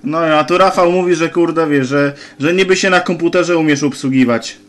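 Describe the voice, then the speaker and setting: Higher-voiced man on CB radio. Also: normal speed. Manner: informal, slangy, repeats phrases. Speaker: amateur CB radio operator. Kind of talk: casual radio chat. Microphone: handheld camera near radio set. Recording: noisy handheld camera recording, radio clicks.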